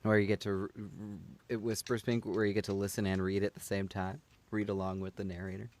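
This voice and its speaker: A man's voice: lowish voice, level tone, fairly quick, darkish tone, dry recording. A man hosting a book show, chatting casually with guests on a live stream. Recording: some background noise.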